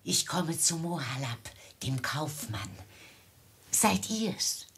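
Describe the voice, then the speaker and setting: An actress in a 1950s radio play, playing a mysterious, stately maidservant with a measured, formal delivery. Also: female voice, sits low, big pitch swings, fairly bright tone, slightly rough, exaggerated voice. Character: mysterious, stately maidservant sent by her mistress.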